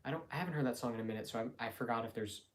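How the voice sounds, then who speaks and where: A man's voice: medium pitch, rapid-fire, slight background noise. A nineteen-year-old man chatting casually and unscripted, rambling and thinking aloud with hesitations and self-corrections as he talks to viewers. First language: English. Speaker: live streamer chatting with viewers. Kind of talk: casual unscripted chat answering viewers' questions.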